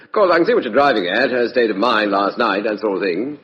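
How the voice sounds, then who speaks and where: A man's voice: fairly low pitch, expressive, brisk, close to an everyday voice. An actor playing a breezy, evasive British ex-army major and con man, with clipped upper-class slang, in a 1950s radio drama. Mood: defensive, evasive. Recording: muffled, reverberant vintage radio recording.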